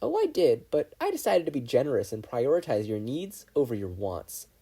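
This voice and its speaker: A narrator voicing a smug, needling conscience with lively pitch. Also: slightly rough, exaggerated voice. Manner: sarcastic, brisk, lecturing. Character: smug, bossy inner-conscience voice, needling and superior.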